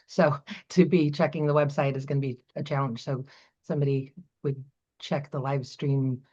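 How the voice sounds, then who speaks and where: A woman's voice: a bass voice, fairly even intonation, moderate pace, dark tone, lo-fi sound. A woman moderating an online event, coordinating the stream and checking how people should join in. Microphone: video call.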